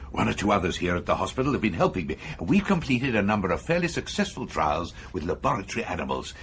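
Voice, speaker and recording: An actor playing an eager, persuasive neurosurgeon with a macabre obsession in a radio horror drama, speaking insistently and clinically with a British accent. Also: male voice, lowish voice. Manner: insistent, enthusiastic, coolly clinical lecturing. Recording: faint background noise.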